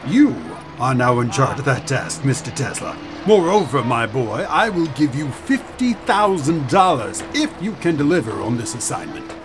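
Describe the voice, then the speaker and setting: A man's voice: mid-range voice, very animated, normal speed, few pauses, music in the background, lightly acted. An actor playing a condescending, grandiose inventor-businessman in a period audio drama, pompous and patronizing.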